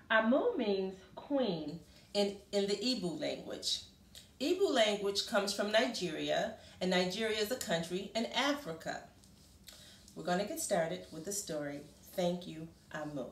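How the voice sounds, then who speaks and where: A woman reading a children's story aloud expressively, pausing to put questions to her listeners. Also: mid-range voice, sing-song. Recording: roomy, echoey sound.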